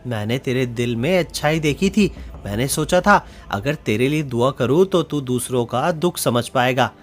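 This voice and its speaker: A man's voice: medium pitch, expressive, normal speed, soft background music, lightly acted. Man voicing a stern magical talking beast. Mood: solemn. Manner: reproachful, solemn. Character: magical crow and deer turned wolf, stern judge. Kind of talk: Hindi animated moral story dialogue.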